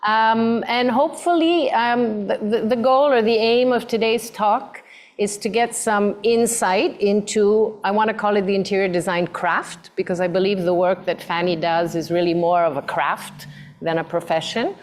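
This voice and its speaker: A woman's voice: medium pitch, fairly even intonation, normal speed. A woman moderating a design talk for students, hesitant, with many fillers and repeated words. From mixed background.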